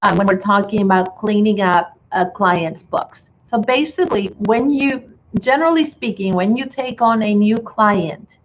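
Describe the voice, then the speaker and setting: A woman's voice: medium pitch, measured pace, highs cut off, slight background noise. A woman from Chile with a slight Chilean Spanish accent, presenting a bookkeeping webinar in a friendly way with many fillers. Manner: friendly, filler-heavy, restarts often.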